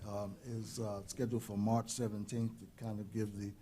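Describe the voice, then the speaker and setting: Male town manager, low warm tone, halting delivery. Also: little pitch movement, slight background noise. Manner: hesitant, many ums. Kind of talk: meeting report. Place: council chamber.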